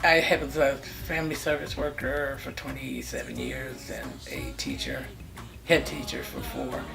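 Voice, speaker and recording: A woman's voice: rumbling low, huge pitch swings, unhurried, creaky. A woman in her sixties from California, looking back on her family life and listing dates and names one after another. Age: 60s. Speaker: interviewee recalling family life. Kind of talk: personal reminiscence. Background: music.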